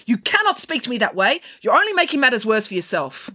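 A woman's voice: a little high, sing-song, quick pace, sharp tone, pitched above the performer's own voice, full character voice. A woman voicing a stern female school authority, scolding and commanding, in a storybook reading.

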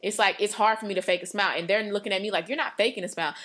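A woman's voice: medium pitch, steady tone, very fast, fairly bright tone. A woman in her late twenties talking earnestly and at length about her faith on a podcast, with many fillers and restarts. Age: 20s. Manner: earnest, rambling, lots of "like". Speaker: podcast co-host. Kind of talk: casual faith talk.

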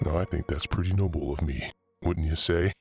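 An actor playing a brooding, theatrical occult collector, narrating a scripted horror tale in a measured way with dramatic pauses. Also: male voice, extremely low pitch, faint music behind. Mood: ominous, proud. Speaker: narrator and main character of horror fiction podcast. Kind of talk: scripted first-person horror storytelling.

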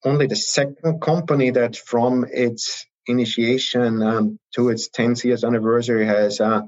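A man's voice: medium pitch, level tone, normal speed, darkish tone, highs cut off. A man with an Austrian accent, a guest answering interview questions in a hesitant, conversational way with frequent ums and restarts. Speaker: guest, biotech investor.

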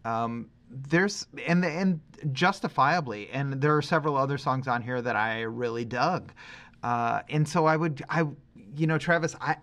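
A middle-aged man co-hosting a podcast, talking casually and reflectively, working through his thoughts haltingly with qualifications. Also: mid-range voice, a bit bright. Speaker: podcast co-host.

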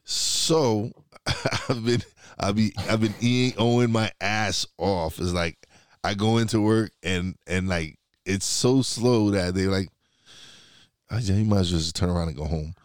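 A man's voice: on the low side, a little slow, smooth, no echo, studio quality. A man from New York chatting casually over a remote call, rambling and restarting his sentences. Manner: rambling, many restarts, laid-back. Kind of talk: casual podcast chat.

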